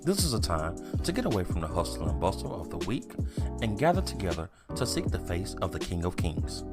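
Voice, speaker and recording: A man reading scripted weekly church announcements in a smooth, upbeat announcer delivery. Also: sits low, sing-song, a little fast. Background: guitar music bed.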